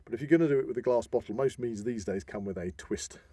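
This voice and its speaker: A middle-aged British man co-hosting a podcast, chatting casually like an animated storyteller handing out practical advice. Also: medium pitch, quick pace, breathy edge, dry recording. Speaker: podcast co-host.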